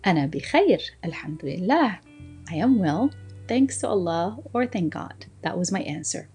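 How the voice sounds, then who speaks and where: A woman teaching a language lesson, explaining and slowly repeating phrases for learners to copy. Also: on the low side, very animated, darkish tone, husky, faint music behind. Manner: slow, deliberate repetition of phrases for learners; switches between explaining and modelling phrases.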